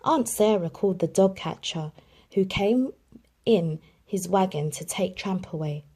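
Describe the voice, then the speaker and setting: A woman reading a children's storybook aloud in an expressive storytelling manner. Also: medium pitch, unhurried, husky. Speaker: children's book reader.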